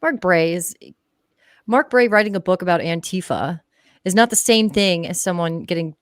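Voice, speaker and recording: A woman's voice: lowish voice, moderate pace, smooth, no room sound, clean studio sound. A woman guest on a livestream giving opinions casually, in a blunt, emphatic, sweary way.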